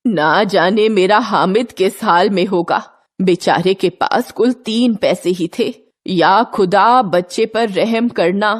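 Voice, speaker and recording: An old village grandmother, acted by a woman in a storybook drama, emotional and pleading. She speaks very slowly, fretting and scolding with obvious tenderness. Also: medium pitch. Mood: anxious, praying.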